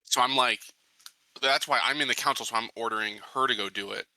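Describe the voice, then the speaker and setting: A man's voice: mid-range voice, brisk, pushed and bright, no room sound, poor audio. A man playing a tabletop roleplaying game, chatting casually and hesitantly as he searches for his words.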